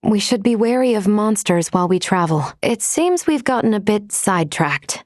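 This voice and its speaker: A woman voicing a courteous, analytical female android companion in a video game, speaking in a formal, measured, precisely worded way. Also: mid-range voice, lively intonation, no room sound, clean studio sound, lightly acted. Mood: calm, alert.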